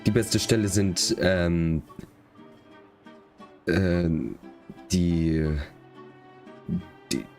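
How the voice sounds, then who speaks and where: Male streamer: very deep pitch, a bit unwell, relaxed chatty commentary over soft game music. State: slightly sick. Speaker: live streamer.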